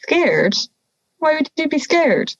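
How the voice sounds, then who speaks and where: A woman's voice: sits high, very animated, slow pace, no room sound, highs cut off, full character voice. A young woman playing a touchy, wry, harmless talking wolf in an audio drama, indignant and teasing.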